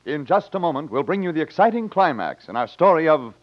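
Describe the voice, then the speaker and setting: A man's voice: a little high, big pitch swings, brisk. A man with an American accent narrating as the announcer of an old-time radio drama. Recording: dull vintage radio recording. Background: organ music.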